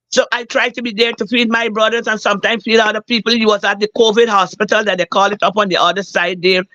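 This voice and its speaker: A hoarse woman, calling a talk show and earnestly telling a personal story, repeating herself for emphasis. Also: on the high side, pushed and bright, no echo, poor audio. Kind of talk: emotional personal account.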